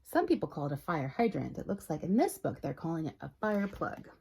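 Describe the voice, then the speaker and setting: A woman reading a picture book aloud as a storytime librarian, expressive and talking directly to young listeners. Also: low-pitched, brisk, creaky, slight background noise. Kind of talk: children's read-aloud narration.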